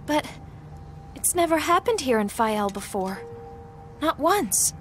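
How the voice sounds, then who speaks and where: An earnest young princess from a fantasy game. Her voice is high and lively in pitch, with a gentle youthfulness. Faint music plays behind. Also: takes it slowly, full character voice. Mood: alarmed. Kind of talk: video game voice acting.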